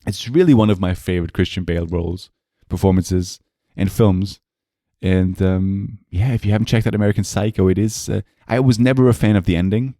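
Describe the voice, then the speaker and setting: A man co-hosting a podcast, chatting casually about films in a relaxed, hesitant way with many pauses. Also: deep voice, no echo, clean studio sound. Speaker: podcast co-host. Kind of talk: casual film chat.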